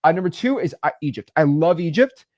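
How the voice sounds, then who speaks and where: A man giving casual advice in a rambling, animated way with lots of fillers. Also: a little high, fairly quick.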